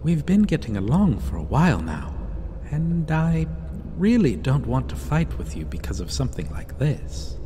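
A man playing a possessive, seductive vampire in an ASMR roleplay, sighing and speaking with condescending, mocking tenderness and quiet menace. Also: fairly high pitch, big pitch swings. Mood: stern, threatening. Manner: slow, sighing, purring endearments, mocking tenderness. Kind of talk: ASMR roleplay, theatrical intimate acting. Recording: long reverb, clear ambient background noise.

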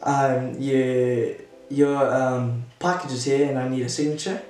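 A man's voice: mid-range voice, steady tone, measured pace, darkish tone, distant mic. A young man, chatting casually in an informal, slangy way as a vlogger. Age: young adult, late teens. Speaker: vlogger making prank calls. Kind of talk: casual chat to camera and on the phone. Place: small indoor room at home. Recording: roomy, off-mic, music behind.

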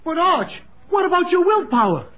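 A woman's voice: very high-pitched, sing-song, normal speed. A woman playing a nagging, slangy tavern regular in a 1950s radio comedy. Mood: objecting. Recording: dull 1950s radio recording.

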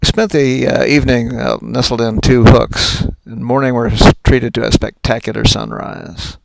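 An older man, a sailing captain, narrating his own trip video in a relaxed, folksy way with some fillers. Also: mid-range voice, normal speed, vocal fry, slight background noise, poor audio.

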